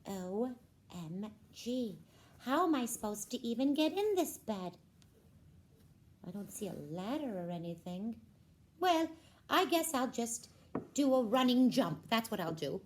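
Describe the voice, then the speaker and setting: Woman as a flustered young princess, very animated. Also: on the high side, a little slow, pauses often, slight background noise. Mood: exasperated. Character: polite, eager, dainty young princess puppet. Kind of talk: puppet show for children.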